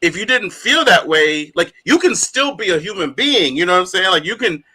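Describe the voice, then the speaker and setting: A man co-hosting a casual podcast, talking in an animated way with frequent laughs and plenty of fillers. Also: high voice, fairly quick, breathy edge. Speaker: podcast co-host. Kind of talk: casual chat.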